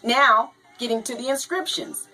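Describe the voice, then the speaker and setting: A woman hosting a video show, slow and halting, a little hoarse from a cold, talking to viewers and reading aloud. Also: on the high side, pushed and bright, poor audio. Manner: slow, halting, says "you know" and "now". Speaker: host.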